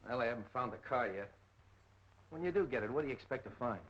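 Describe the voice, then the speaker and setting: Actor as brusque police captain, muffled old film. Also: male voice, mid-range voice, expressive, fast, fairly bright tone, natural voice. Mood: dismissive. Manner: blunt, slangy, bossy. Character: brusque, impatient, skeptical male police captain. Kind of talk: 1930s film acting. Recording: muffled 1930s film soundtrack.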